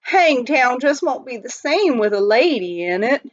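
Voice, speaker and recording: A woman voicing a rough, joshing gold-rush miner with folksy frontier grammar in a storybook reading. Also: high-pitched, big pitch swings, a little slow, pitched above the performer's own voice. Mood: wistful. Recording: dull lo-fi home recording.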